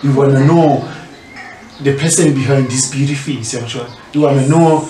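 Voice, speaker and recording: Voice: mid-range voice, moderate pace, warm tone, distant mic. The kind of South African host you would hear on a small homemade studio chat show, informal and rambling, a little away from the mic in a roomy space. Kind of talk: casual chat interview, mixing English with local language. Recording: roomy echo, off-mic, faint noise.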